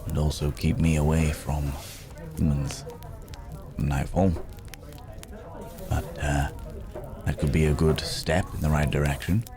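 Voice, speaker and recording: A man performs a world-weary gentleman werewolf with an extremely low voice. He talks in formal, genteel phrases, musing and pausing with small hums. It is an intimate ASMR fantasy roleplay addressed to a companion. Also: little pitch movement, crowd noise. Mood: pensive, cautious. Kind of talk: ASMR roleplay acting, spoken to the listener.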